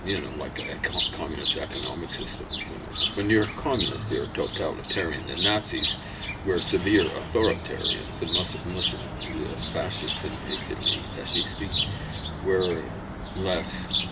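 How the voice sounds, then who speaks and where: A man from Africa talking casually and insistently, rambling with many pauses and fillers. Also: low voice, heavy background noise. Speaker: partner in a home vlog conversation. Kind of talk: casual opinionated chat.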